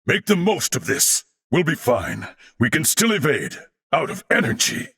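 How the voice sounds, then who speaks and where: A gallant, disciplined warrior man from a video game. His voice is forceful and gravelly, swinging widely in pitch. He phrases everything in a formal, old-fashioned, theatrical way, proud and resolute in temper. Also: big pitch swings, moderate pace, heavily acted. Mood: dutiful, resolute. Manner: formal, archaic, crisply declaimed phrasing.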